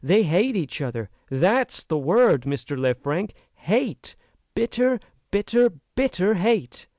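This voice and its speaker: A man's voice: on the high side, big pitch swings, a little slow, darkish tone, no room sound, studio quality. A man reading an audiobook, voicing an earnest, frank young American woman who pleads with emphasis. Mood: earnest pleading.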